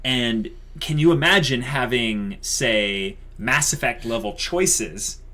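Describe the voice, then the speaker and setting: A man's voice: medium pitch, takes it slowly, pushed and bright. A man co-hosting a YouTube channel, chatting casually and unscripted in an enthusiastic, emphatic way, repeating himself for emphasis.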